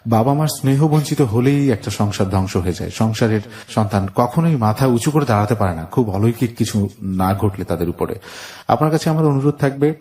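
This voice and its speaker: A man with a Bangladeshi accent hosting a life-story radio show, speaking to guest and listeners in a measured, earnest, deliberate way with reflective pauses. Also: lowish voice, lively intonation, warm tone.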